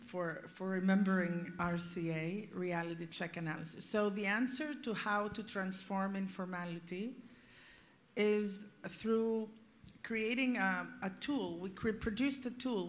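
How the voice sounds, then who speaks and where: A woman's voice: mid-range voice, slight background noise. A woman explaining as a panelist, emphatic and direct, posing rhetorical questions to her listeners. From Greece. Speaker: panelist, policy expert. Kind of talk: panel discussion, unscripted explanation.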